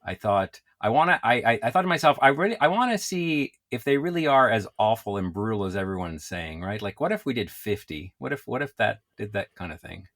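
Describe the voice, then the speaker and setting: A man from Quebec, a comedian, chatting casually as an interview guest, animated and quick to laugh. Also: fairly low pitch. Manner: animated, laughs often, self-described loud voice.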